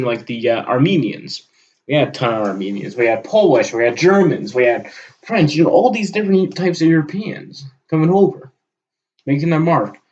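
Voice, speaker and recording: A dull, lo-fi recording of a man talking off the cuff in a fairly low voice. He rambles through an unscripted monologue in a halting way, often pausing and letting sentences trail away. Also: darkish tone. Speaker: vlogger recording an audio log.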